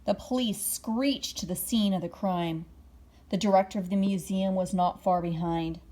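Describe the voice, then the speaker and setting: A woman reading a children's bedtime story aloud expressively, drawing out words and dropping into hushed moments. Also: mid-range voice, fairly even intonation, moderate pace. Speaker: storybook reader.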